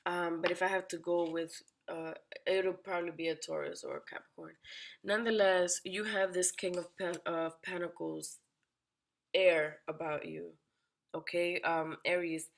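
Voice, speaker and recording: Woman tarot reader, a bit sick, gravelly and slightly low, direct and probing. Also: little pitch movement, a little slow. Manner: direct, questioning, addresses the listener by sign. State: under the weather, raspy-sounding voice. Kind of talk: weekly horoscope tarot reading.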